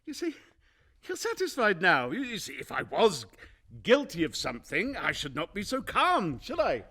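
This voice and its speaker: An actor playing a nervous, guilty murderer in a radio play, stammering and repeating himself in an over-eager, ingratiating way. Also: male voice, high-pitched, huge pitch swings, moderate pace, pushed and bright, exaggerated voice. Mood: rattled, defensive. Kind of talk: theatrical radio play acting.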